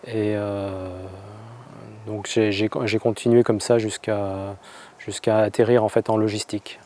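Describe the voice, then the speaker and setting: A man from France recounts his own story in a lowish, mellow voice. He talks in a flat, even tone and keeps stopping to restart his sentences. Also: slight background noise. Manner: halting, with many hesitations and restarts. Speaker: convert telling his story. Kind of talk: personal testimony.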